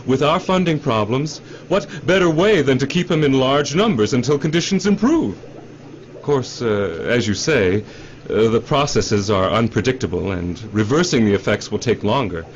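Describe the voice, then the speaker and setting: A man's voice: mid-range voice, expressive, normal speed, lightly acted. An actor in a radio drama playing a glib, defensive middle-aged executive who cajoles and repeats himself like a salesman. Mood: defensive, pleading. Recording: dull radio drama recording, faint noise.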